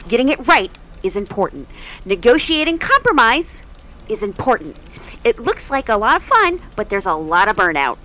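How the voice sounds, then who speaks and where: An actress playing a brisk, businesslike woman who coordinates a charity's departments, a little stern and worn out. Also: a little high, very animated, bright, forceful tone, rough recording.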